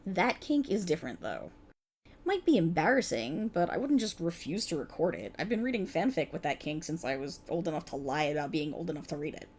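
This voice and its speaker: A woman's voice: low-pitched, big pitch swings, brisk, darkish tone, a little hiss or hum. A woman playing a playful, exasperated voice actor bantering casually with her best friend in an ASMR roleplay. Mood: grudging, playful. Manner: sarcastic, chatty, casual, hesitant asides. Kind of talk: ASMR roleplay, one side of a conversation.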